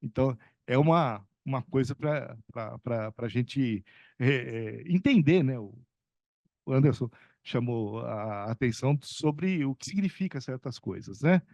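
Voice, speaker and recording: Middle-aged man from são paulo, economics professor on a panel, rambles with lots of fillers, warm mellow tone, dry sound. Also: mid-range voice, lively intonation, a little slow, no room sound, dull audio. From São Paulo state, Brazil. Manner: rambling, many fillers and restarts, chuckles. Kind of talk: conversational talk at a panel.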